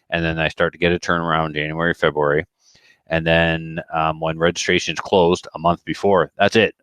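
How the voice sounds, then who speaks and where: A man's voice: sits low, fairly bright tone, no room sound. A man chatting casually on a podcast in a rambling, colloquial way, often slipping into quoted dialogue. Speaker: podcast speaker who organizes shooting matches.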